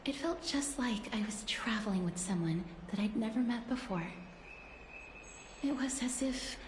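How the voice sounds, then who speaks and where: An actress playing a gentle, earnest, bookish young woman in a video game, soft-spoken and polite with breathy phrase endings. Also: on the high side, lively intonation, measured pace, a little hiss or hum. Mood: tender, reflective. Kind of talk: video game character acting. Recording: echoey, faint background ambience.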